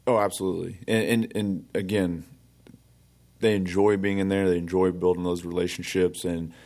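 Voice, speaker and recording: Low-voiced man hosting a police podcast. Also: flat delivery, normal speed, a little mellow. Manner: affirming, conversational interviewer. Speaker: podcast host, police officer. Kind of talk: casual podcast interview.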